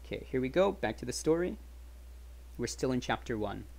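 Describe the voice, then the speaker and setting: Animated, casual male livestreamer. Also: mid-range voice, fairly quick, gravelly. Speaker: streamer reading aloud. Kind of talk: casual livestream commentary and story reading. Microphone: webcam stream setup.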